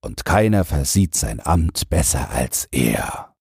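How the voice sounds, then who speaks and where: Storybook emperor, read by a male narrator: vain, musing, very deep and husky, unhurried. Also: very animated, dry recording, clean studio sound, full character voice. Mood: musing, self-important. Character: vain, pondering emperor, self-important fairy-tale ruler.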